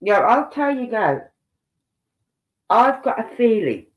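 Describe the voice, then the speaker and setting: An older man talks slowly and unhurriedly into a webcam mic at home. He sounds congested, as if his nose is blocked up. He rambles and thinks aloud, often breaking off mid-sentence. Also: sits high, big pitch swings, poor audio. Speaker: true-crime livestream host. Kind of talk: casual livestream commentary reading posts aloud.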